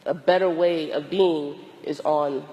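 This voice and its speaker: A hoarse, tired woman priest with a cold gives a slow, deliberate sermon with long pauses. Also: low voice, fairly even intonation, dark tone, big-room sound, low-quality recording. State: hoarse, losing her voice from a cold; exhausted. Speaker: Episcopal priest. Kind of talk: reflective sermon.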